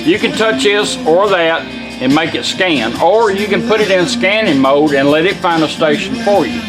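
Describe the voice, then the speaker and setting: A man's voice: high voice, moderate pace, poor audio. A man giving an informal, hands-on product demonstration in a casual, folksy way. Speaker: radio restorer presenting a product. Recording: music playing behind the voice. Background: music from the demonstrated car radio.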